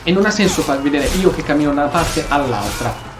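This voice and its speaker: A man's voice: fairly high pitch, animated, fast, over music. An Italian man giving casual gameplay commentary, informal and sweary, reacting and replying to the game. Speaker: gaming streamer. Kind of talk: casual Let's Play commentary with role-played replies. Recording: roomy echo, clear background noise.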